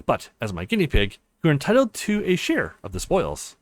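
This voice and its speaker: A man's voice: a little high, huge pitch swings, fairly quick, dry recording. A man voicing a nerdy, smug female hacker with wordy, mock-formal phrasing in a visual novel. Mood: smug, teasing.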